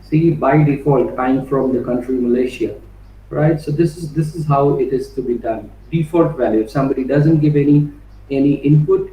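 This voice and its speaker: A man teaching an online coding workshop, talking his way through live code with frequent "right?" and "you know" fillers, restarting phrases as he types and reading code strings out slowly. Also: medium pitch, mellow, far from the mic. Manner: restarts and repeats words while typing. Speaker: workshop instructor. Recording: roomy, off-mic with faint background noise.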